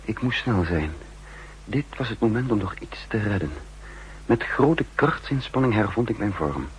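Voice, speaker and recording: An actor playing a cool, calculating spy chief who narrates in the first person, in a 1960s radio drama. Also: male voice, fairly low pitch, lively intonation, slight background noise, lightly acted, rough recording. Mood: tense. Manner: measured, sardonic. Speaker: narrator and lead.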